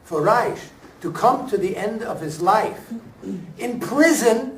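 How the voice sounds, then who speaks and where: A high-pitched man talks in an echoey room, some way from the mic. He is reflective and deliberate, often breaking his sentences into short, halting pieces. It has the feel of an actor discussing a performance after a show. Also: animated. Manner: halting, deliberate phrasing with emphatic pauses. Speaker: stage actor speaking at a talkback. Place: room at a staged reading, before an audience. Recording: echoey room, picked up from far off.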